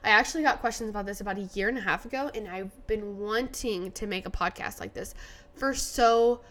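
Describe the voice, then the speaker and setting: A woman's voice: medium pitch, brisk, a bit bright. A young woman hosting a casual solo podcast, sounding nasal and congested from allergies, chatty with many fillers. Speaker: podcast host.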